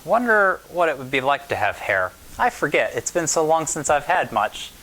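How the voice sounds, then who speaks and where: A man's voice: a little high, very animated, normal speed, bright, forceful tone, soft background music, low-quality recording. Man reading a picture book aloud. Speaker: pastor reading a children's story. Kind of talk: storytime read-aloud of a picture book to children.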